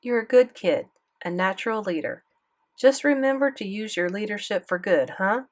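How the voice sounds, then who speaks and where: A kindly male teacher, voiced by a woman narrator offers counsel in an audiobook letter. The delivery is measured and gently questioning, at a medium pitch, with steady encouragement. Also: close to an everyday voice. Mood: encouraging. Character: kindly, encouraging male schoolteacher writing to pupil.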